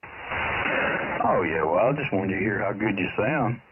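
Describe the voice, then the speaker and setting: A man's voice: fairly low pitch, animated, unhurried. A man chatting casually and folksily as an amateur radio operator, heard through a radio transmission. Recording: narrow, static-filled ham radio signal.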